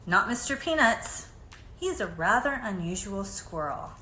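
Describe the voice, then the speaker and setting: A woman reading a children's picture book aloud in an expressive, deliberate storytelling manner. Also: mid-range voice. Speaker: storybook reader. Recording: echoey room, faint background noise.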